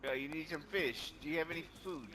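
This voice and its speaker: A man's voice comes through game voice chat, relaxed and friendly. Steady noise from the game and the line sits clearly under him. He talks plainly with his teammates. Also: on the high side, fast.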